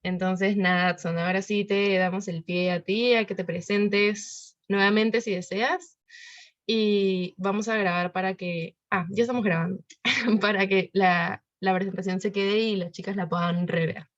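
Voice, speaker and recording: A woman's voice: mid-range voice, fairly even intonation, a little slow. A woman hosting an online talk introduces the guest in a chatty, informal way. Her voice sits mid-range and comes through a dull video call line. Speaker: host.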